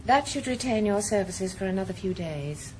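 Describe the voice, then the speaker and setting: An actress playing a guarded, sharp-tongued widow in a radio drama, cool and clipped. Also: female voice, mid-range voice, expressive, normal speed, background noise, close to an everyday voice. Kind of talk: 1980s radio drama acting.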